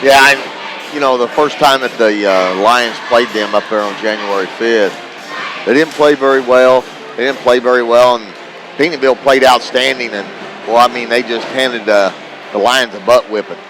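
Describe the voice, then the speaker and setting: Plain-spoken mid-pitched man, sports analyst. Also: steady tone, moderate pace, noisy background. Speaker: radio broadcast partner, analyst. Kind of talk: live sports commentary chat. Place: corner perch in a school gymnasium. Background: gym ambience, bouncing basketballs.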